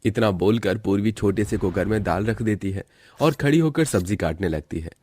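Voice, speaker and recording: Male narrator, low-pitched, delivering scripted storytelling for an animated family tale. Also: moderate pace.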